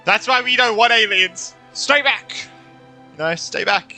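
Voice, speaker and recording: A man's voice: sits high, unhurried, sharp tone, soft background music. A man doing casual gameplay reaction commentary as a streamer, blunt and joking, with swearing.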